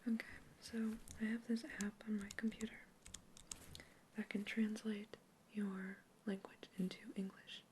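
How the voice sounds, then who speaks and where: Young woman, ASMR whisper, flat and mellow, calm researcher asking questions. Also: medium pitch, measured pace, a little hiss or hum. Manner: clinical, gentle interviewer. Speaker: ASMR creator. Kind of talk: whispered sci-fi roleplay.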